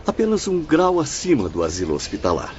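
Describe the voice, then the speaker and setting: A man's voice: on the high side, wildly swooping pitch, a little fast, darkish tone, slight background noise. A man acting a courteous, grave spirit guide who admonishes formally in an audio drama. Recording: dull lo-fi audio, faint music.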